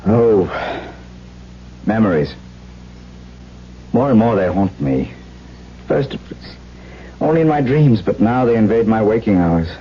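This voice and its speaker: An actor playing a brooding, haunted aristocratic husband in a 1970s radio drama, speaking formally and earnestly. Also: male voice, fairly low pitch, sing-song, unhurried, pauses often, slight background noise. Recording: dull vintage radio broadcast, music behind.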